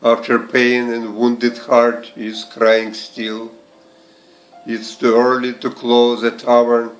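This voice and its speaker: Slow, even-voiced man reciting poetry over music. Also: mid-range voice, poor audio. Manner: deliberate, with short phrases and pauses. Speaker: poetry reader and translator.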